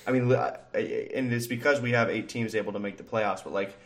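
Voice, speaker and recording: A man's voice: lowish voice, fast talker, a bit bright. A young man co-hosting a casual sports podcast, rambling with lots of fillers and easy laughs. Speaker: podcast co-host. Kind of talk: casual chat.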